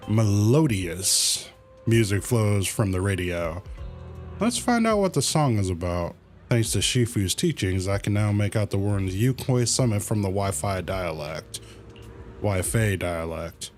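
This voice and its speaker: A man's voice: on the low side, big pitch swings, a little slow, darkish tone, faint music behind. A man casually commentating on a game livestream, reading dialogue aloud in a laid-back, colloquial way and muttering to himself. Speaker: game streamer.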